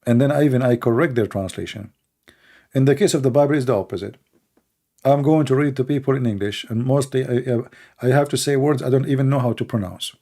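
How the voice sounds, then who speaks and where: Gravelly Arabic-accented man, halting speech. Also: mid-range voice, mellow. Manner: halting, many fillers and restarts, blunt and opinionated. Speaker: livestream debate host. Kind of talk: casual unscripted talk answering questions.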